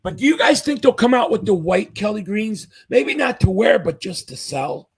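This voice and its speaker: Husky-voiced man losing his voice. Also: sits high, big pitch swings, moderate pace. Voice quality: husky, fading voice. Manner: enthusiastic fan reading chat comments aloud. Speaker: sports livestream host. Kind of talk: casual live-chat commentary.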